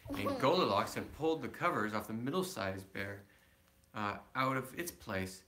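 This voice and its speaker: A man's voice: fairly low pitch, expressive, moderate pace, bright, forceful tone, slight background noise. A man reading a children's storybook aloud as a father, adding simple, casual asides to his child.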